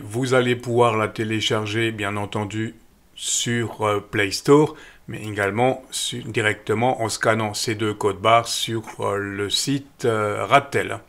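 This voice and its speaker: Man, middle-aged, low-pitched voice, calm, step-by-step tutorial voice-over, frequent fillers. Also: measured pace. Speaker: radio equipment channel host. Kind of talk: screen-recorded app tutorial voice-over.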